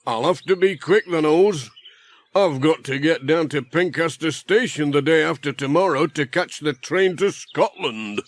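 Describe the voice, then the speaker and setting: A man's voice: fairly high pitch, measured pace, lightly acted. A British man voicing a busy village handyman in a children's audio story, chatty and emphatic. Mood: brisk, jovial.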